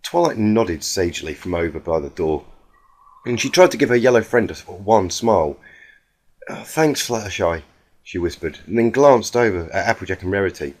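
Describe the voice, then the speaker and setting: A man reading a fan fiction story aloud in an earnest, expressive, dramatic amateur narration. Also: deep voice, brisk.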